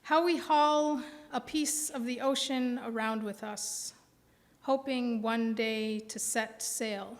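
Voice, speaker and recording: An American woman in her forties, a poet giving a live reading with deliberate phrasing and pauses. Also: a little high. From Milwaukee, American Midwest. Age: 40s. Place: indoor reading before a live audience. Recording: reverberant hall sound.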